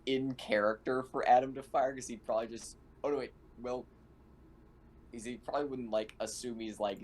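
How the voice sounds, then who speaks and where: A man playing a tabletop roleplaying game, chatting casually and thinking out loud in a tentative way. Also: mid-range voice, fairly even intonation, moderate pace, a little hiss or hum.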